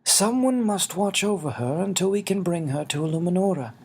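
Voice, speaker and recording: Tiny aged fairy gentleman, acted by a male narrator: raised pitch, weary, gentle, deliberate storybook delivery. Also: animated, slightly rough, heavily acted. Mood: earnest. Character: tiny old winged fairy man, solemn, gentle, weary.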